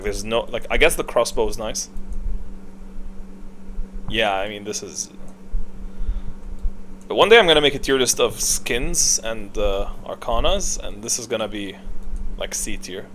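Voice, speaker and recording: A man doing casual, unscripted tier-list commentary, opinionated and halting as he thinks aloud. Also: lowish voice, a bit bright, a little hiss or hum, rough recording. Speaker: video creator, gaming commentator.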